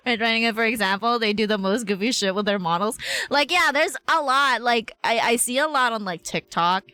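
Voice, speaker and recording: A woman's voice: fairly high pitch, fairly quick, bright, forceful tone, clear, resonant tone, no room sound. A woman streaming a game with casual live commentary, chatty, rambling and giggly, using plenty of slang.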